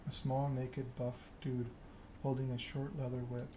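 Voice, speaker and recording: A man narrating a story game aloud in ASMR style, reading in a measured way with occasional stumbles. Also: mid-range voice, completely flat delivery, noisy background.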